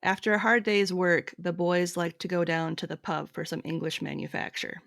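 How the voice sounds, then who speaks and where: A woman's voice: on the low side, little pitch movement, moderate pace, vocal fry, a bit bright, dry recording, studio quality. A woman hosting a podcast, casually quizzing a guest in a plain, patient way. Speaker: podcast host. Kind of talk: casual quiz chat.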